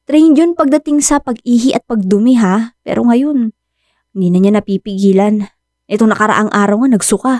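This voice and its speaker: A woman's voice: mid-range voice, darkish tone, dry recording, natural voice. An actress with a Filipino accent playing a devoted, anxious young woman who dotes on her aging dog in an emotive radio drama. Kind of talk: Tagalog radio drama acting. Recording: music bed behind dry studio voice.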